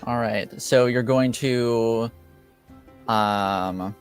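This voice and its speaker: A man acting as game master for a tabletop roleplaying session, talking casually and haltingly as he thinks aloud. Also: medium pitch, faint music behind.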